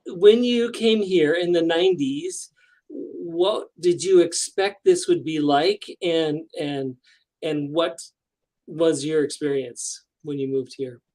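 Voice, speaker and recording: A man's voice: on the high side, takes it slowly, a little mellow, low-quality recording. A man hosting a radio talk show, asking his guest questions in an easygoing way. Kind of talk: interviewing a guest.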